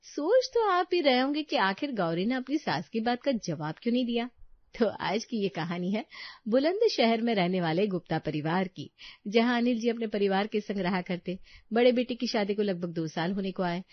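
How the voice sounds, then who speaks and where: Expressive woman storyteller, slightly muffled recording. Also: medium pitch, normal speed, no echo. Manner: expressive, addresses listeners directly. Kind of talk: scripted narration of a Hindi family tale.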